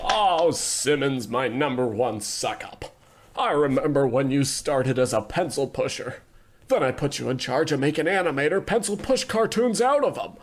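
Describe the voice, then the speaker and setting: A man's voice: mid-range voice, animated, moderate pace, a little hiss or hum, natural voice. A man playing a pompous, flippant animation tycoon in a comedy radio play, smug and careless. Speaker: company boss character.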